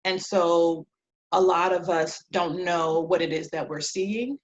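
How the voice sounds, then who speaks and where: A woman in her thirties from Liberia answers interview questions conversationally as a guest, reflective and halting, often pausing between phrases. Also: fairly low pitch, fairly even intonation, muffled recording. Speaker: interview guest, clinical social worker.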